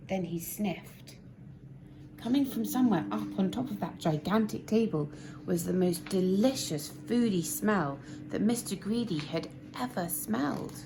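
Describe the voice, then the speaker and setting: A woman narrating a children's story expressively, with deliberate, dramatic emphasis. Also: medium pitch, animated. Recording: noisy amateur recording, clear background noise.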